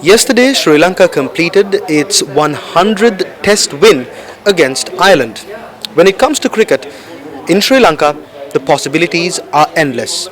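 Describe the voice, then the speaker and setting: Male reporter, slightly high voice, measured scripted read. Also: lively intonation, fairly bright tone. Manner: formal, measured. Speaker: news reporter. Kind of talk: scripted news narration.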